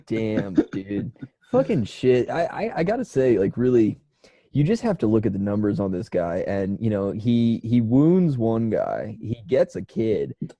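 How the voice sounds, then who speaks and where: Mellow but animated male podcaster. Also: lowish voice, unhurried. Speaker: podcast host. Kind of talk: casual comedic podcast chat.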